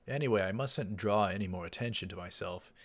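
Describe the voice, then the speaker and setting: A man doing a game playthrough, reading the story text aloud and adding casual asides. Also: fairly low pitch. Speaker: let's play host. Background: game music.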